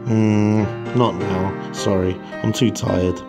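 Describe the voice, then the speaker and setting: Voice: sits low, flat delivery, slow pace. A performer voicing a weary, fearful middle-aged man who leads a cult, speaking hesitantly in a motion comic. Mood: tired. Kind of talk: motion comic character dialogue. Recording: prominent music under the voice.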